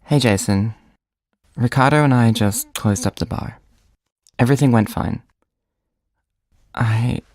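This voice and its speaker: An actor playing a caring, supportive friend in an audio drama, gentle, concerned and a little hesitant. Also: medium pitch, no echo, studio quality, natural voice.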